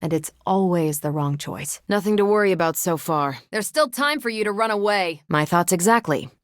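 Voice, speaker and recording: A woman's voice: fairly low pitch, expressive, normal speed, dry recording, studio quality, close to an everyday voice. A woman voicing a confident, wry, friendly superhero in a video game, with a breezy, teasing, dryly self-assured delivery. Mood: dry, conversational. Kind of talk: video game voice acting, conversational.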